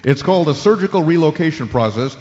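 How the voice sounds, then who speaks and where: A man's voice: a little high, animated, fast talker, darkish tone, lightly acted. An actor playing an unflappable male sports talk radio host, moving between interview banter and polished ad-read patter. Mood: salesman-like, smooth. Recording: dull audio in a reverberant hall.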